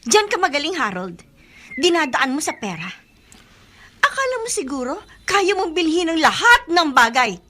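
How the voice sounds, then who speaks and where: A woman's voice: high voice, sing-song, normal speed, bright, forceful tone, heavily acted. A woman playing a defiant young mother. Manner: defiant, accusing. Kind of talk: radio drama acting.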